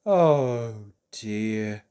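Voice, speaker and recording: A storyteller voicing a muddled, forgetful little man who exclaims loudly in a raised, slowed voice. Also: mid-range voice, expressive, clear, resonant tone. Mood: sheepish. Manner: loud, slow, exclaiming in a raised voice. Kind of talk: storybook character voice.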